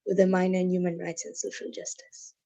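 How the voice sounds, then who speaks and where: A young woman from Mauritius, a student ambassador, introducing herself softly on a video call. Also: fairly low pitch, monotone, a little fast, creaky, highs cut off. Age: young adult.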